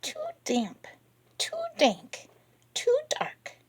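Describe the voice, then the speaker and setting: A woman voicing a tiny fussy mouse in a high, whispery squeak. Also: huge pitch swings, extremely slow, slightly rough, exaggerated voice. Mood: fretful.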